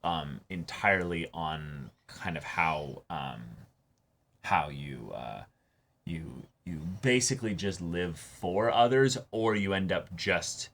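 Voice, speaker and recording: A man's voice: low-pitched, expressive, slow pace, a bit bright. A man co-hosting an anime reaction show, talking through ideas in a thoughtful, analytical way with many fillers and restarts. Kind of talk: casual discussion.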